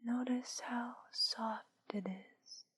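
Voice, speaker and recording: A woman playing a soothing, seductive witch hypnotist in a soft, breathy whisper, in an ASMR roleplay. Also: a little high, fairly even intonation, heavily acted. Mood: soothing, coaxing. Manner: slow, soothing, drawn-out delivery.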